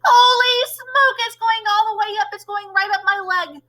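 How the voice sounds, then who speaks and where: A woman's voice: very high voice, moderate pace, bright, forceful tone, pitched above the performer's own voice. A woman voicing a foolish male cook in a storybook, shrieking in frantic panic. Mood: panicked.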